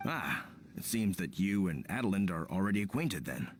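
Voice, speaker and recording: A man's voice: sits low, very animated, normal speed, slight background noise. A man voicing a friendly, grateful villager with a helpful, slightly hesitant manner in a video game. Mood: explanatory.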